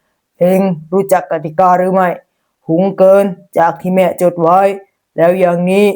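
A woman's voice: fairly low pitch, level tone, normal speed, mellow, pitched below the performer's own voice, lightly acted. A woman voicing a stingy, stern old mother-in-law in a folk tale, with a hard, scolding manner. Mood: harsh, scolding.

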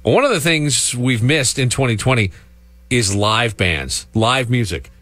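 A man's voice: medium pitch, animated, unhurried, fairly bright tone, no echo. A man hosting a rock radio show, chatting conversationally and with animation between songs. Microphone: close studio broadcast microphone. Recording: music playing behind. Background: music.

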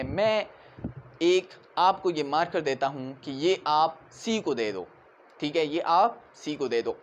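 A man in his twenties with a Pakistani accent, giving an explanatory law lecture in an emphatic way, repeating key points. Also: on the high side. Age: 20s. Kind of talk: explanatory lecture mixing Urdu and English. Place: small indoor room in front of a whiteboard. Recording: muffled audio with clear background noise.

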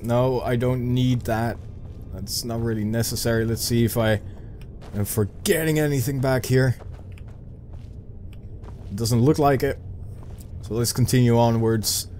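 This is a young Dutch man with a Dutch accent narrating a dungeon crawl at his own slow pace. His voice sits at an ordinary middle pitch, and he mutters half-thoughts and little self-mocking jokes as he plays. Faint noise hums under the recording. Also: darkish tone. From Netherlands. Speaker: gameplay commentator. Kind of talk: casual let's play commentary.

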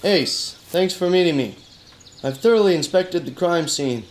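Man playing deadpan hard-boiled private eye. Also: high-pitched, moderate pace, a little hiss or hum. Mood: dry, probing. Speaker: detective and narrator. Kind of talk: noir detective radio play.

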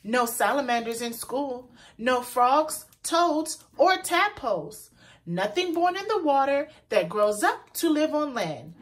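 Animated, high-pitched woman reading to children. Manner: pauses to point out words to young listeners; animated, deliberate delivery for children. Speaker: children's storyteller. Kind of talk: expressive read-aloud of a children's picture book.

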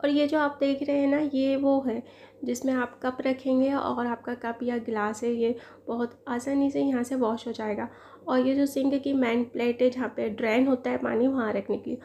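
A woman's voice: high-pitched, steady tone, moderate pace, warm tone, muffled recording, slight background noise. A woman in her thirties, a lifestyle vlogger who chats to viewers while unboxing a product at home, admitting she is no expert. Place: living room at home, indoors.

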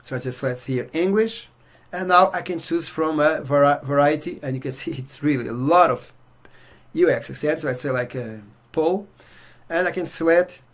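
Portuguese-accented man demoing software. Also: fairly high pitch, normal speed. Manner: relaxed, filler-heavy walkthrough. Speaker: software reviewer. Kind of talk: casual screen-share demo. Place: indoor room at home.

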